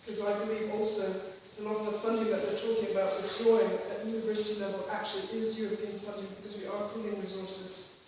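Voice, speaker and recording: A woman's voice: medium pitch, flat delivery, quick pace, few pauses, a little mellow. A woman from Switzerland giving an informal, earnest, somewhat hesitant speech at a public meeting. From Switzerland, grew up in Geneva. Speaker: campaign speaker. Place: school hall at a public meeting. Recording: echoey hall, distant mic, faint noise.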